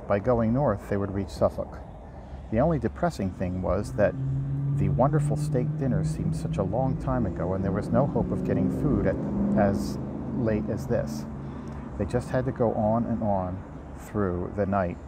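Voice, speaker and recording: A man with a lowish, creaky voice reads a children's story aloud in an informal, homemade style. He sometimes trips over a phrase and takes it again. Faint outdoor ambience sits behind him. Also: background noise. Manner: unpolished, stumbles and rereads lines. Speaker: amateur audiobook reader and host. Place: outdoors by a river.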